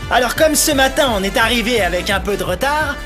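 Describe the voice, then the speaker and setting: A cocky young delinquent. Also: male voice, very high-pitched, lively intonation, a little fast. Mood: threatening. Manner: swaggering, threatening. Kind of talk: French anime dub acting. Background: anime music score.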